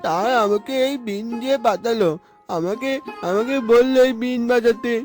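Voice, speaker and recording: A woman's voice: on the high side, normal speed, darkish tone, lightly acted. A woman voicing an urgent villager with news in a cartoon, repeating words. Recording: music behind the voice.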